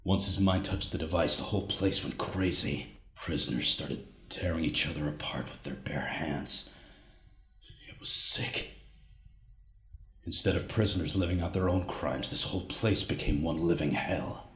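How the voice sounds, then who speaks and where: Haunted tired soldier doing a survival log, super deep voice, brooding, big echoey reverb, audio drama. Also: male voice, moderate pace, pushed and bright, exaggerated voice. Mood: disturbed. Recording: echoey hall with long reverb.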